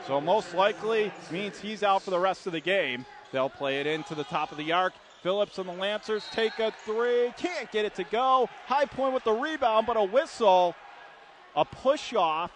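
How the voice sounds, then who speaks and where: A man's voice: high-pitched, animated, moderate pace. An American man calling live basketball play-by-play for radio in punchy, urgent phrases from courtside. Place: courtside in an indoor arena. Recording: loud gym noise behind. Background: arena ambience, bouncing basketballs.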